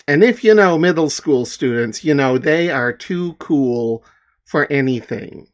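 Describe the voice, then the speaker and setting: A middle-aged man from Newfoundland, Canada, talking casually to viewers in a halting, self-deprecating, rambling way. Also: fairly high pitch, expressive. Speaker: comic artist and author.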